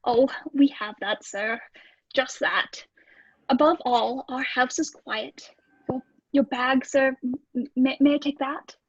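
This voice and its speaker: An actress plays a meek British boarding-house landlady in an old-time radio drama. Her voice is very high and hushed, and she stammers deferentially. The sound is dry and a little dull. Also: steady tone, takes it slowly, husky, no echo, heavily acted. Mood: timid, eager. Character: timid, eager-to-please married landlady in an old British lodging house.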